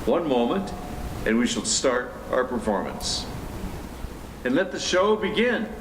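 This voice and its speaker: A man's voice: medium pitch, big pitch swings, a little slow. A man giving a scripted welcome announcement to introduce a community theater performance. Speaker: event announcer. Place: indoor community theater space. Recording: echoey, noisy room recording.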